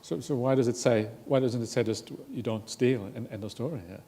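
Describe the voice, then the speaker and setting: A man's voice: medium pitch, brisk, dark tone, in a large hall. A man teaching as a Buddhist monk in a workshop discussion, reflective and hesitant, with many fillers and open questions. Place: Buddhist centre hall.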